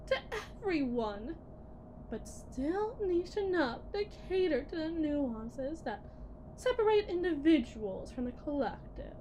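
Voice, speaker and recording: Condescending, menacing female corporate technician woman acting, high-pitched, unhurried, theatrical ASMR roleplay delivery over faint noise. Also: mellow, low-quality recording. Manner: theatrical, patronizing, deliberate with dramatic pauses. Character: condescending, menacing female lead technician at shady robot company. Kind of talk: scripted ASMR roleplay monologue.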